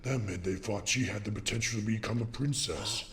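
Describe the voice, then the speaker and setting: A man's voice: on the low side, fairly quick, crowd noise, lightly acted. A man voicing a brooding young male unicorn who tells his own story in anguished, dramatic fashion for a fan comic dub. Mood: bitter, brooding. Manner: dramatic, heavy, confessional delivery. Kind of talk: scripted first-person narration, fan comic dub. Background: loud music.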